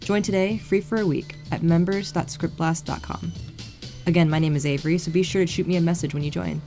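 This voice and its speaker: A woman's voice: on the low side, fairly even intonation, fairly quick, creaky, mellow. A young woman reading a scripted podcast outro and promotion. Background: music under the voice.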